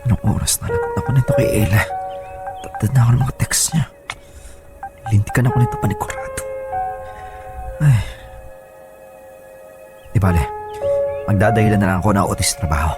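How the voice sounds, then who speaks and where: A man playing a guilty, evasive young boyfriend in a radio love drama, with loud music behind him. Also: fairly low pitch, wildly swooping pitch, a little fast, pauses often. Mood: nervous. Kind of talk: emotional radio drama acting. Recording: loud music under dialogue.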